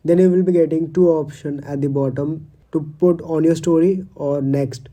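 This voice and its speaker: Dark-voiced man, tutorial narration. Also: fairly high pitch, fairly even intonation, normal speed. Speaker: tutorial host. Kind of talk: scripted step-by-step tutorial narration.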